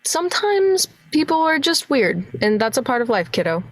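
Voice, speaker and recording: A woman's voice: sits high, big pitch swings, moderate pace, slightly rough, exaggerated voice. A woman playing a weary, dry-witted male small-town detective in an amateur radio play, speaking in a hesitant, sardonic way. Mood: skeptical, dry.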